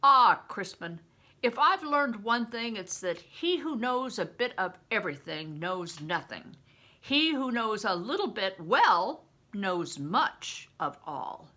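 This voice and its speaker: An older woman acts out a rough storybook mentor, a man who preaches and commands. The delivery is forceful and slow, with big swoops in pitch and a raspy edge. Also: very animated, exaggerated voice. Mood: musing.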